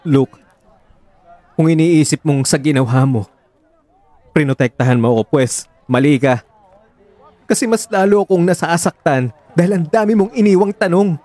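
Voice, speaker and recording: Man acting a heartbroken pleading lover, pitched high. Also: normal speed, pauses often, a little mellow, no room sound, lightly acted. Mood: hurt. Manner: emotional, insistent, pleading. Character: heartbroken, pleading young male lover demanding the truth. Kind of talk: Tagalog radio drama acting.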